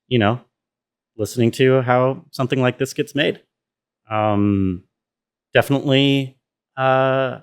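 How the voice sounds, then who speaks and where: A man's voice: on the low side, smooth. A man giving an informal, rambling walkthrough of his own sound design work, thinking aloud as he goes. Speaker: sound designer presenting behind-the-scenes video.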